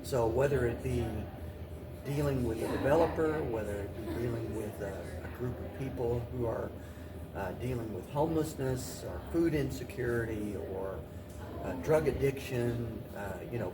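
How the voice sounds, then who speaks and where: A man speaking as an interview guest and city mayor, giving halting, measured answers and often repeating phrases. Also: mid-range voice. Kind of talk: talk show interview answers. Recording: distant mic, clearly noisy room.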